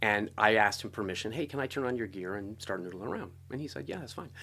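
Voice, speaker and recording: A middle-aged American man telling his story casually as an interview guest, talkative and animated. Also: lowish voice, fast, creaky, breathy edge. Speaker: interview guest, composer and guitarist.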